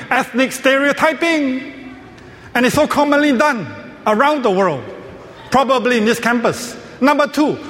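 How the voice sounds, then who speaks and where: A man lectures with jokes and quiz questions that he answers himself. His voice sits very high. Long reverb from the hall trails after each phrase. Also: fairly bright tone, a little hiss or hum. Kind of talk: joke-filled lecture.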